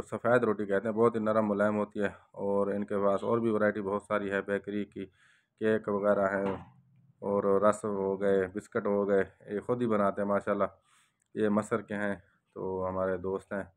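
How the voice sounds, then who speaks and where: A young man from Pakistan talks slowly to his handheld phone as he walks through a bakery. His low voice stays flat and even, and he keeps returning to prayers and blessings between his remarks. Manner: slow, devout, repeats blessings often. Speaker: vlogger. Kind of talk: casual walk-through vlog with prayers. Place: bakery shop indoors.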